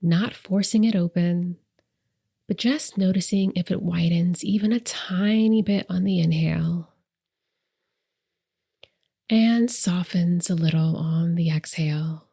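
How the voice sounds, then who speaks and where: A woman leading a guided meditation as host, speaking softly and gently with deliberate pauses, her breathy voice trailing into whispers. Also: on the low side. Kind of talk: soft, soothing guided meditation narration.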